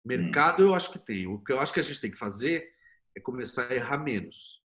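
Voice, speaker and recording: A man's voice: mid-range voice, animated, fairly quick, a bit bright. A man with a Brazilian Portuguese accent talking casually and emphatically as a guest in an interview, in informal language. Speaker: interview guest, TV producer and screenwriter.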